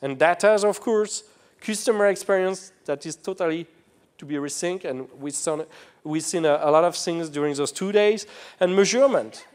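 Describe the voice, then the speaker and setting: A man's voice: on the high side, moderate pace, a little mellow. Man giving a keynote. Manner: string of rhetorical questions, non-native phrasing. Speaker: keynote speaker. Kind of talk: conference keynote.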